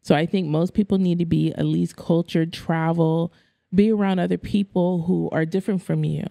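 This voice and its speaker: A woman's voice: lowish voice, fairly even intonation, gravelly, dark tone, dry recording. A woman in her thirties who grew up in the Philippines with Tagalog as her first language, speaking plainly as a guest in a podcast interview. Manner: direct, matter-of-fact, quick laughs. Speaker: guest photographer. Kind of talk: casual interview chat. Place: bright studio room. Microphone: studio mic on boom arm, headphones.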